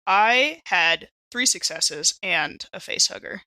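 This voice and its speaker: Bright-voiced woman in a dry recording. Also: mid-range voice, lively intonation, takes it slowly, no echo, low-quality recording. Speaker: player in a tabletop role-playing game. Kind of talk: casual game-table chat.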